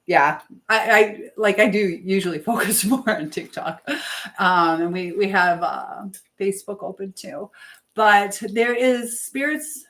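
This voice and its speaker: A woman hosting a live chat, talking casually to viewers in a rambling, halting way. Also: mid-range voice, fairly bright tone. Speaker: livestream host.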